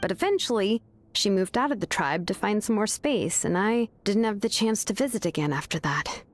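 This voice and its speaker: An actress playing a relaxed, friendly young woman in a game, with faint music behind. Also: medium pitch, normal speed, lightly acted. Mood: fond. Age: young adult. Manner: relaxed, casual, affectionate. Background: soft game music.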